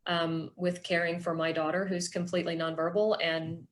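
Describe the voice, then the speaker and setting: A middle-aged woman presenting a workshop with personal reflection, explaining things in an earnest, measured way. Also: on the low side, flat delivery, slight background noise.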